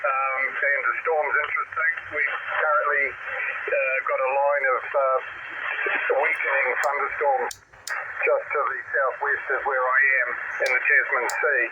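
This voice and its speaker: A man, chatting courteously as a ham radio operator over a radio transmission, with frequent pauses. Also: medium pitch, flat delivery, narrow, tinny audio. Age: 60s. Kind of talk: casual radio contact chat. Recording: very noisy band-limited shortwave radio signal.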